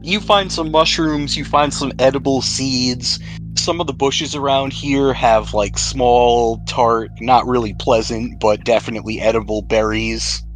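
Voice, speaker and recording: A man's voice: medium pitch, fairly even intonation, measured pace, low-quality recording. A man acting as game master, casually explaining rules and describing outcomes in a tabletop role-playing game. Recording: music playing behind the voice.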